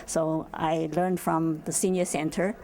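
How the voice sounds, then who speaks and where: Older Hong Kong Chinese woman, creaky low voice. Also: flat delivery, a little slow, dark tone. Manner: non-native English grammar. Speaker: senior center volunteer game teacher. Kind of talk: casual interview answers.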